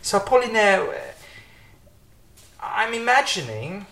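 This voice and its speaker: A man's voice: sits high, big pitch swings, takes it slowly, bright, forceful tone. Hesitant male podcast host. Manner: hesitant, many fillers and restarts. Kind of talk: casual conversational interview. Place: home kitchen.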